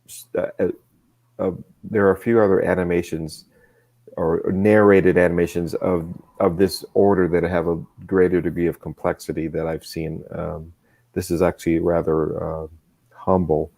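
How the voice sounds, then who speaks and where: Low gravelly guy doing casual analysis, kinda hesitant, picks his words. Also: little pitch movement, a little mellow. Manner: hesitant, measured, searching for words. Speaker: co-host reviewing animation.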